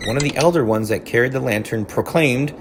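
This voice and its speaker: A man's voice: medium pitch, brisk, noisy background. A man narrating a children's storybook as a teacher reading aloud.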